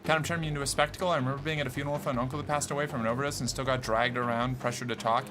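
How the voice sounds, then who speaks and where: A man streaming a video game, reacting out loud, cursing, and talking to himself as he plays. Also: mid-range voice, fairly even intonation, racing. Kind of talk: gameplay commentary. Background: game music.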